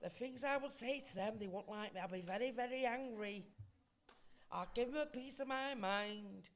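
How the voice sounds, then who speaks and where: A woman's voice: fairly high pitch, normal speed, lightly acted. A woman playing a feisty, complaining community centre regular in a radio play, blunt and given to exclaiming. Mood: angry.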